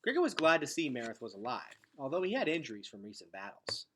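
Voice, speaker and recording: A man reading a children's novel aloud in an expressive storytelling style. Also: medium pitch, quick pace, a little hiss or hum. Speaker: read-aloud reader.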